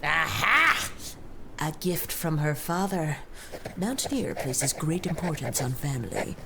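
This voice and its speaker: A woman playing a pompous, excitable sprite who acts as an official observer in a family audio drama. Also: sits low, measured pace, background noise, heavily acted. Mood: nosy, triumphant. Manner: officious, pompous, dictating formal reports. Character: bossy, excitable female mountain sprite, self-appointed official observer.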